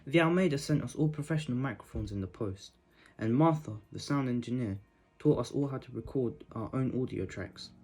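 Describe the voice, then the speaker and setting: A man's voice: mid-range voice, brisk, a little mellow, husky. A young man narrating a scripted piece as a member of a youth theatre company. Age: young adult. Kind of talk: scripted narration to promote a project.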